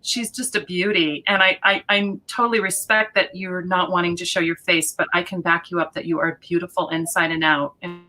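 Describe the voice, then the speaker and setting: Woman card reader, bright and tense voice, enthusiastic, on a video call. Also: on the low side, fairly quick, few pauses, low-quality recording. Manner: enthusiastic, flattering. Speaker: tarot reader. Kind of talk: casual chat.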